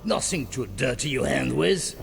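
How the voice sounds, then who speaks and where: Gravelly, guarded crime boss. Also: male voice, mid-range voice, lively intonation, normal speed, over music. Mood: guarded, dealing. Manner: terse, wary, dismissive. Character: guarded, gruff Parisian underworld boss, suspicious and dismissive. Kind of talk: video game cutscene acting.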